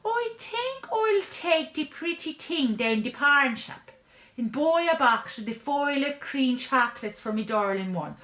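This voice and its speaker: A woman's voice: high-pitched, expressive, unhurried, sharp tone, pitched above the performer's own voice, full character voice. A scruffy Irish rogue from a storybook, played by a woman. The voice is raised and lilting, with folksy, scheming charm. It sounds in an echoey room. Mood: scheming, hopeful. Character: scruffy, charming male Irish tinker, lovestruck animal hero.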